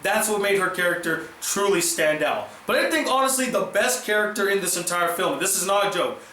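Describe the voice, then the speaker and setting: A man's voice: high-pitched, expressive, fairly quick, pushed and bright, echoey room, slight background noise. A man with a sore throat, giving an earnest, opinionated scripted film review. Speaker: movie reviewer.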